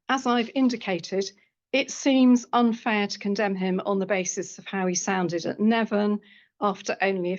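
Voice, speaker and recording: A woman reads an academic paper aloud in a lecture room, keeping to a measured scholarly pace and adding the odd dry aside. Her voice sits at an ordinary middle pitch for a woman. The recording sounds somewhat dull and lo-fi. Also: darkish tone. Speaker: historian giving a seminar paper.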